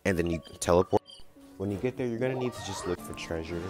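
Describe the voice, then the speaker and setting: Low voiced guy chatting through a game guide, real informal, music behind him. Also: fast. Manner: informal, chatty, addresses viewers as guys. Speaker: game guide video creator. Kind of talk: casual walkthrough commentary. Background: game music and dialogue.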